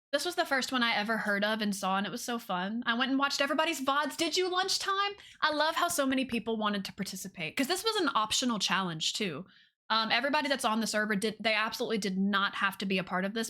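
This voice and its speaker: A woman's voice: a little high, pushed and bright. A woman hosting a game livestream in casual chat, gushing enthusiastically, with informal y'alls and repeated phrases.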